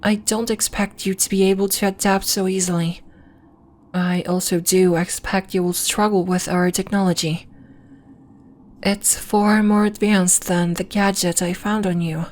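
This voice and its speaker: A woman playing a gentle, earnest alien healer from the moon in an ASMR roleplay, speaking in a soft, measured, formal and reassuring way. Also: mid-range voice, steady tone, lightly acted. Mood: calm, guiding. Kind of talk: ASMR roleplay, scripted character acting.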